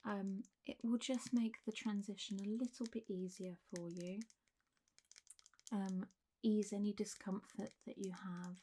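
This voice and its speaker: The undead physician of a late-night ASMR clinic roleplay, acted by a woman, murmuring mellow, gentle reassurance across the examination couch. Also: medium pitch, steady tone, measured pace, a little hiss or hum, lightly acted. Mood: soothing, attentive. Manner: gentle, reassuring, hesitant, slipping into whispers. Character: calm, reassuring zombie doctor running a specialised clinic. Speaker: doctor in a roleplay. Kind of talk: soft-spoken ASMR roleplay.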